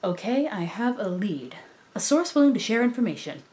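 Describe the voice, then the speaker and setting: A woman's voice: medium pitch, very animated, moderate pace, darkish tone, natural voice. A woman playing a practical, mildly exasperated female android partner to a cartoon detective. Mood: reluctant, businesslike.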